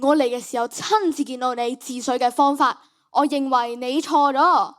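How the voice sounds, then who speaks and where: A girl plays a solemn ancient philosopher who corrects others. The voice is high and childlike, with a Hong Kong Cantonese accent, in radio-drama style. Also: fairly even intonation, normal speed, a bit bright. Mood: solemn, reproving. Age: child, primary school student. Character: solemn male philosopher sage, morally reproving. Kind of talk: school radio drama acting.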